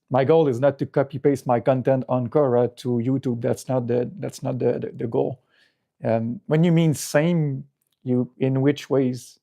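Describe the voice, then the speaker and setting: A middle-aged man with a French Canadian accent talks casually to his livestream viewers. His voice is mellow and relaxed, at an average male pitch. He speaks English as a second language after French, often pausing and repeating words as he searches for the right one. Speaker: livestream host. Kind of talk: casual unscripted livestream chat.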